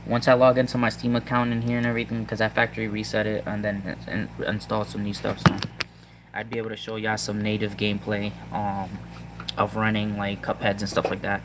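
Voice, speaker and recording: A man with a Puerto Rican accent talks to his viewers in a low, fairly flat voice, rambling and stopping with frequent ums. A steady hum of room noise sits clearly behind him. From Puerto Rico. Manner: halting, many ums and restarts. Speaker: tech vlogger. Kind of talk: casual rambling tech talk to viewers.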